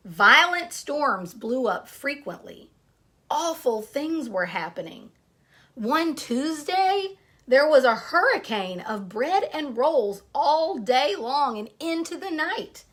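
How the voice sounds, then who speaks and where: Female storyteller for young children: high, animated voice, picture book narration with chatty asides. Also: slow. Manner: expressive, addresses young listeners with questions. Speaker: storyteller reading aloud to children.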